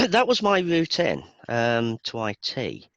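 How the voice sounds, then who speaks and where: A man's voice: medium pitch, huge pitch swings, slow, a bit bright, no echo, highs cut off. A man from Birmingham, England with a Birmingham accent, chatting casually as an interview guest in a self-deprecating, talkative way. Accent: Birmingham English. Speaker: interview guest, database performance expert.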